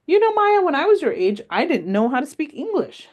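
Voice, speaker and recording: A woman voicing a wise, patient old grandmother in a read-aloud story, gently telling her granddaughter about her life. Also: high-pitched, sing-song, a little fast, pitched above the performer's own voice. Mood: fond.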